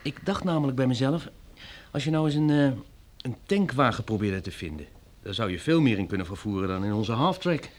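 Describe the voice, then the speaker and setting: Acteur als levendige, volhardende meedenker. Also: male voice, mid-range voice, expressive, fairly quick, natural voice. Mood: suggesting. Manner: tentative but insistent, reasoning aloud. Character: persistent male housemate offering eager practical suggestions. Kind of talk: radio drama acting.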